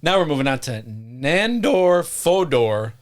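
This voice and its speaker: A man's voice: a little high, big pitch swings, takes it slowly. A man co-hosting a podcast, reading a strange story aloud in a jokey, profane way and stopping to correct himself. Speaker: podcast co-host.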